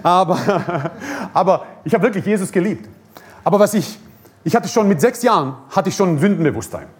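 A man's voice: sits high, animated, a little fast, husky. A man from Croatia, preaching a fervent, repetitive sermon and prayer with joking asides.

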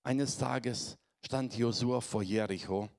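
A man preaching to his congregation, hoarse from a cold, speaking in a conversational way and often checking in with his listeners. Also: medium pitch, steady tone, slow, darkish tone. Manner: conversational, addresses congregation with tag questions. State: has a cold, voice affected. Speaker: pastor. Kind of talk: sermon.

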